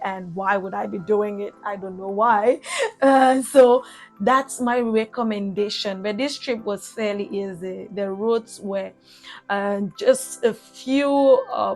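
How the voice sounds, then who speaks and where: Cameroonian woman vlogger, soft music behind. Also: mid-range voice. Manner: chatty, pauses and restarts with fillers. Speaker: travel vlogger. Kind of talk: casual travel recap.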